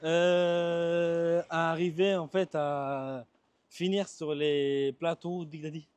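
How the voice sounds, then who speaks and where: Young male interviewer, high voice, jokey, rambling, walking outdoors. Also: level tone, slow pace, darkish tone, clear, resonant tone, no echo. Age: 20s. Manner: joking, rambling, repeats himself. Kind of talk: casual joking interview.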